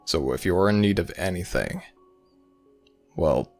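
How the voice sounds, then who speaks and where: Man voicing very deep, slow ancient Egyptian god. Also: lively intonation, soft background music. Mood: soothing, reassuring. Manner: formal, measured, archaic phrasing, addressing a listener as child. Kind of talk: ASMR audio roleplay, slow intimate dramatic monologue.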